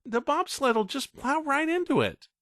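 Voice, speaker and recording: A man's voice: sing-song, unhurried, fairly bright tone, no room sound, full character voice. An anxious eight-year-old boy who pleads with the older kids, as a man puts him on. His voice jumps far above a man's normal range and swings sharply. The recording is dry and close. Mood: pleading. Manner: pleading, high-pitched.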